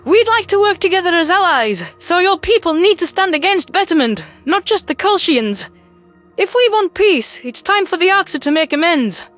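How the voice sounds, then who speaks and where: A man's voice: extremely high pitch, expressive, moderate pace, fairly bright tone, soft background music, voice pushed up. A man voicing a small, earnest alien rebel in a raised voice. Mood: pleading.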